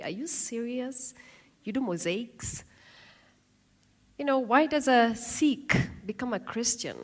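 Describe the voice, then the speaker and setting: A woman in her thirties from Kenya with a Kenyan accent, telling her life story in a lively talk with asides and acted-out quotes. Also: mid-range voice. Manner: lively, laughing, acts out quoted voices. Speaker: speaker giving a talk.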